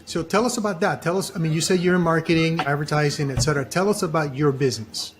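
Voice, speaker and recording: Higher-voiced male podcast host over background noise. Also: fast. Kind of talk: interview podcast talk.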